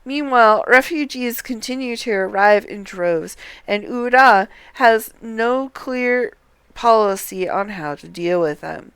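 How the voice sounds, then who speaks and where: Slow, clear woman streamer reading aloud. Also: fairly high pitch, animated, a bit bright, no echo. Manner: deliberate, halting when reading. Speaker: game streamer. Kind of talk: reading game dialogue aloud, casual livestream commentary.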